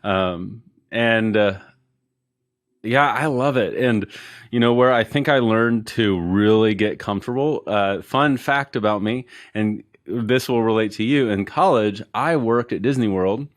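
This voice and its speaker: A relaxed man with a deep, low voice chats as a podcast guest. He jokes about himself in an easygoing way, and his voice sounds dry and close, with little room sound. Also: unhurried, no room sound. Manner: easygoing, self-deprecating, jokey. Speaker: podcast guest, retail consultant. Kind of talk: casual interview chat. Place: Houston.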